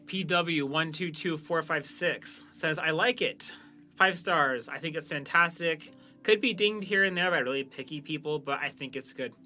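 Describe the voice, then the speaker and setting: A man's voice: on the high side, normal speed, pushed and bright, faint music behind. A man hosting a podcast in casual banter, chatting and reading out listener reviews. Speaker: podcast host and creator of an amateur audio drama.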